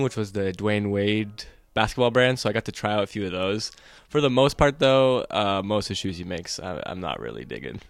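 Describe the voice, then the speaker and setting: A man's voice: on the low side, fairly bright tone, no echo. A young man from the United States, a guest on a podcast, talking in an easygoing way with lots of fillers. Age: young adult. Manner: easygoing, filler-heavy talk. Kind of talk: casual interview.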